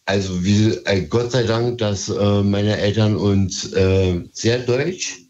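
Slow, nervous low-voiced man on a phone line. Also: a little mellow. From Berlin, Germany. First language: Turkish. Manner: hesitant, nervous, halting. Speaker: caller. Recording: dull phone-line call.

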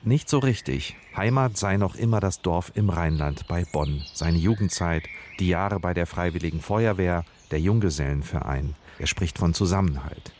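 A man narrating a radio reportage in a calm, scripted storytelling manner. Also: sits low, moderate pace, few pauses, slight background noise.

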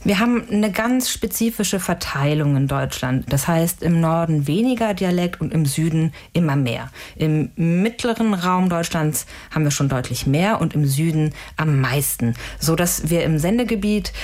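A woman's voice: sits low, expressive, moderate pace, few pauses, a little mellow. A woman researcher giving careful, analytical answers in a radio interview, often framing points as rhetorical questions. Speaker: language researcher, interviewed expert.

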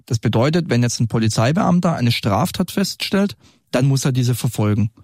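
The senior officer invited onto a studio podcast, a man with a creaky voice explaining how his unit works into a close, echo-free microphone. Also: mid-range voice, lively intonation, normal speed, a little mellow. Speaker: police group leader, interview guest. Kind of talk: explanatory interview answers.

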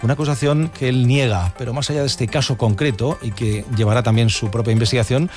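A man's voice: mid-range voice, quick pace, darkish tone. A man hosts a talk panel. He moderates in a friendly, teasing way. Faint music runs behind him. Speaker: host. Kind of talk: radio talk show hosting.

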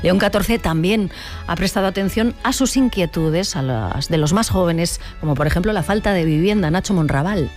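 Low-voiced woman anchoring the news. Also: expressive, a little mellow, faint music behind. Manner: measured, clear delivery handing over to reporters. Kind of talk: live radio news presenting.

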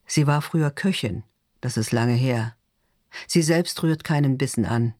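A man reads literary fiction as a narrator, in a mid-pitched voice. The recording is dry, with almost no room sound, and the delivery is that of a scripted audiobook. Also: a little slow, darkish tone, dry recording.

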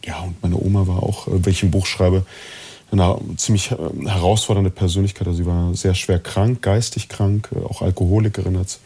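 Male interview guest, very low mellow voice, husky edge, reflective, halting personal storytelling. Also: level tone. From born in East Germany, partly raised in Chile. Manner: reflective, halting with repeated words. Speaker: interview guest, actor and coach.

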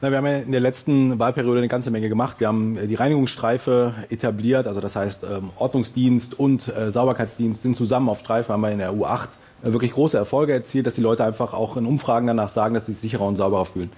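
A man's voice: mid-range voice, fairly even intonation, fast talker, mellow, slightly rough. A man defending his party's record in a debate, with many filler sounds. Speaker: politician. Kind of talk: debate statement.

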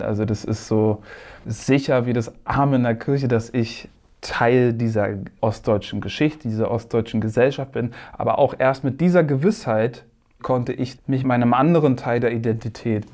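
A man from East Germany talks about his writing in an interview, frank and thoughtful and sometimes searching for the right words. His voice is warm and relaxed, in a middle register. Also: mid-range voice. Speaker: author being interviewed. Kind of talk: reflective interview talk.

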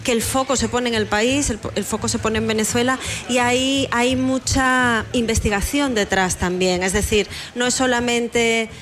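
A woman's voice: fairly high pitch, steady tone, normal speed, hardly any pauses, a bit bright. A woman speaking as a guest novelist in an interview, answering conversationally and repeating words for emphasis. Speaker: guest novelist discussing their book.

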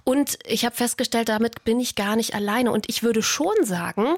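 A woman's voice: on the high side, moderate pace, dry recording. Dry-sounding woman in her thirties. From East Berlin and Brandenburg, Germany. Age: 30s. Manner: open, reflective, personal. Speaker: radio talk show co-host. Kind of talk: conversational radio talk.

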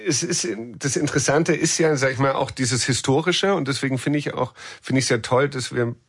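A man's voice: mid-range voice, a little fast, husky. A man from Munich talking conversationally as an interviewed film director, repeating words as he searches for phrasing. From Munich, Germany.

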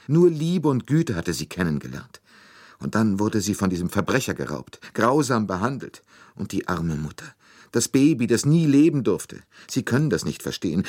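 A man's voice: mid-range voice, a little mellow, breathy edge, voice pushed up. A man voicing a timid, grieving Swedish woman, a former nanny, tearful and with a failing voice, in an audiobook story. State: crying, voice failing.

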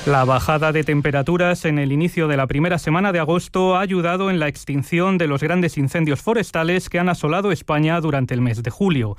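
A man's voice: a little high, no room sound, soft background music. Formal male news anchor, dry studio. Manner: measured, formal delivery. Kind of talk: scripted news reading.

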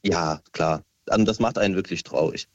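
Young man from Syria calling in, low voice, dull phone sound. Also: brisk, crowd noise. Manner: relaxed, with hesitant fillers. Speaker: caller. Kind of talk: casual phone call to a radio show.

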